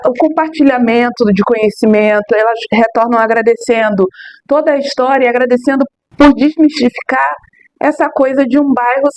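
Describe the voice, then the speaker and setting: A middle-aged woman from Rio de Janeiro, a local tour guide answering interview questions in an emphatic, expressive way with many tag questions. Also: sits high. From Rio de Janeiro, Brazil.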